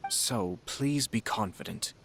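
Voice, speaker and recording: A man's voice: on the low side, animated, creaky, fairly bright tone, slightly rough, exaggerated voice. A man voicing a poised, shrewd young nobleman in a video game, speaking formally and diplomatically. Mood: measured, probing. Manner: formal, measured, diplomatic phrasing. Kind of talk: scripted video game voice acting.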